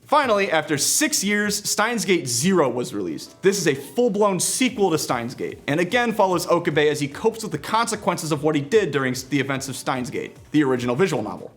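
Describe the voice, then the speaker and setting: Higher-pitched, lively male game reviewer. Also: fairly quick, few pauses, faint music behind. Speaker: video game reviewer and show presenter. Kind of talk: scripted review narration with casual asides.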